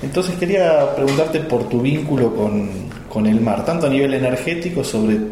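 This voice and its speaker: A man's voice: medium pitch, normal speed, dark tone, a little hiss or hum. A man with an Argentine accent hosting a podcast interview, asking long, winding questions from a hotel room. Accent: Argentine Spanish. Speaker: podcast host. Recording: roomy echo with faint music.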